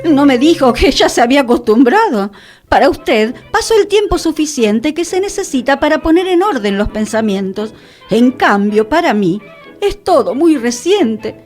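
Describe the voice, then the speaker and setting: An actress playing a whimsical, touchy radio hostess in a fantasy radio play, with theatrical, florid phrasing. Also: female voice, high-pitched, sing-song, normal speed, darkish tone, soft background music. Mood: wistful, insistent. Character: whimsical, touchy radio hostess living among enchantments and dragons. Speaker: radio show host. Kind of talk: scripted radio theatre dialogue.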